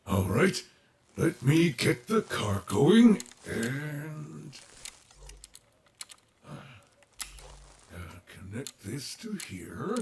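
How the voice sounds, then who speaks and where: A cheerful animatronic bear, played by a man, speaks slowly in a highish, swooping male voice. He is naive, eager and theatrical, an audio roleplay character. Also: sing-song, creaky, exaggerated voice. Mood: proud, eager. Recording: noisy ambience with clicks behind voice.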